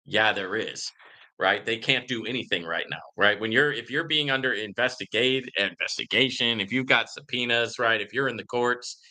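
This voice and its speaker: A man hosting a live Q&A in a casual, conversational and emphatic way, reading comments and often checking in with a quick "right?". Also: mid-range voice, pushed and bright, rough recording. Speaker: livestream host answering viewer questions.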